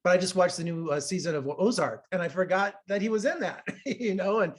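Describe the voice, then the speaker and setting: A man from Las Vegas, a film critic, interviews an actor in a relaxed way. His voice is a little higher than most men's. He is generous with compliments and pads his questions with fillers. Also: a little fast.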